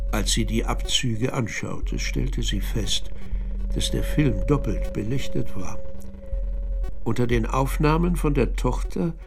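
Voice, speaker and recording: Radio play narrator, played by a man: gravelly, reflective, deliberate and cryptic, faint music underneath. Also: mid-range voice, darkish tone, lightly acted. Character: reflective male narrator recounting evidence and memories.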